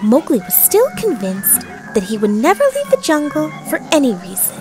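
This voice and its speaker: A woman's voice: high-pitched, huge pitch swings, normal speed. A woman voicing an earnest panther guardian who tells a storybook tale with dramatic expression. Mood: earnest storytelling. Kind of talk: scripted storybook narration. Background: music.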